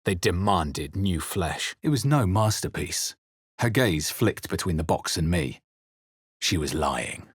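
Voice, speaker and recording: A man playing a brooding fantasy hero who narrates his own adventure for a video game, solemn and deliberate, with a husky voice. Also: low voice, expressive, dry recording, studio quality, heavily acted. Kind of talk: scripted first-person game narration.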